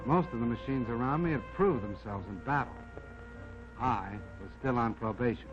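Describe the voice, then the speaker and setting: A man's voice: medium pitch, moderate pace, close to an everyday voice. A man voicing a modest, plucky army jeep that tells its own life story in a folksy, wry 1940s film narration. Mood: determined, modest. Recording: dull vintage 1940s film soundtrack, music behind.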